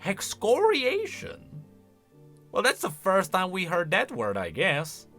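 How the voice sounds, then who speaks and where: A man giving casual, expressive commentary as a video host, thinking aloud as he goes. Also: high-pitched, slow pace, soft background music.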